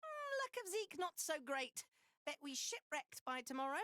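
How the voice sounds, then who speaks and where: Little furry critter, high, swooping, cheeky, broken grammar, video game cartoonish acting. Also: female voice, very animated, normal speed, bright, forceful tone, dry recording, studio quality, full character voice. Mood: teasing. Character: small cheeky furry creature, gloomy teasing.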